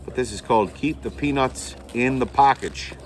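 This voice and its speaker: A man's voice: medium pitch, moderate pace, slight background noise. A man hosting a playful unboxing vlog, talking like a theatrical showman with mock grand announcements. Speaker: host, pipe unboxing.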